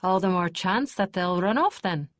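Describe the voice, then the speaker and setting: A woman's voice: lowish voice, expressive, normal speed, dry recording, natural voice. A woman voicing a doubtful, insistent nobleman in an audiobook.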